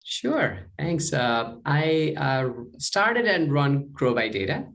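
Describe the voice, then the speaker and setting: A man's voice: on the high side, big pitch swings, slow pace, creaky, fairly bright tone. A man from Nepal with a Nepali accent speaks conversationally as an interview guest, explaining things with frequent "you know" and "right?" tags. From Kathmandu, Nepal. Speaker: company co-founder being interviewed.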